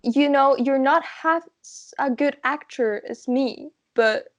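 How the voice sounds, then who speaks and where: A woman's voice: high-pitched, takes it slowly. Woman playing a smug rich male film star. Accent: Spanish-accented attempt at English. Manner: haughty, condescending.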